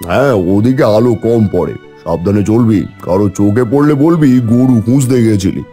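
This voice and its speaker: A man's voice: on the low side, normal speed, warm tone, soft background music, close to an everyday voice. A man voicing a cunning, vain moneylender, a scheming villain in a village cartoon. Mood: sly, plotting. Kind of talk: cartoon story dialogue.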